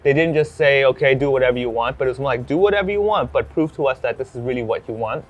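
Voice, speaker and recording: A man's voice: mid-range voice, quick pace. A man in his thirties from Hong Kong, a comedian chatting casually and acting out bits of dialogue as he talks. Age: 30s. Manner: animated storytelling, acts out dialogue. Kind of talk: casual chat.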